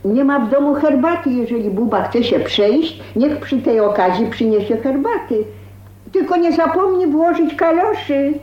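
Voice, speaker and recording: An actress playing a fussy, fretful older aunt who nags and gossips in a radio drama. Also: female voice, sits high, expressive, moderate pace. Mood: nagging. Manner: fussing, nagging, gossipy. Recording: dull, slightly noisy radio play recording.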